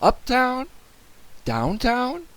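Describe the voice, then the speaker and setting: An excitable young boy from a children's storybook, acted out by a man, pleading and exclaiming in a high voice. The pitch leaps about with an urgent, frantic temper. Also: extremely slow, exaggerated voice. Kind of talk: children's storybook read aloud.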